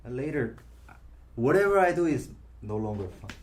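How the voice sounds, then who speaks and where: A man with a Korean accent, a native Korean speaker, giving an earnest, halting testimony as a talk show guest. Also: mid-range voice, sing-song. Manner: halting, earnest, filler-heavy. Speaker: guest, former missionary. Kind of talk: interview testimony.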